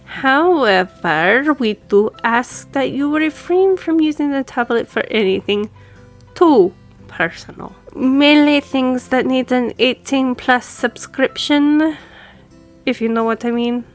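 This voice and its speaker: A woman's voice: high voice, animated, takes it slowly, faint music behind. A woman playing a friendly, businesslike law firm boss in an audio roleplay, speaking conversationally to the listener. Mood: friendly, lightly teasing. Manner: businesslike, conversational, lightly teasing. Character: brisk, friendly female law firm boss giving instructions.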